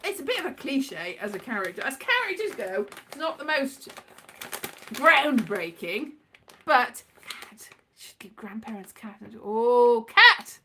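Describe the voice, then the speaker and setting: A middle-aged woman from Wales with a bright, fairly high voice talks off the cuff. Her pitch swings about freely as she drifts from one thought to the next, muttering little asides to herself in a scatty, casual monologue. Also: big pitch swings, measured pace, slight background noise. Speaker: writer and performer, host.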